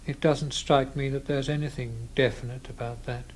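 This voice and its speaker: Man, withdrawn clerk played by an actor, quiet curt refusals, old radio play. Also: mid-range voice, steady tone, normal speed, slight background noise, close to an everyday voice. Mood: detached. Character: withdrawn, stubborn young male copyist. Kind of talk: old radio drama acting.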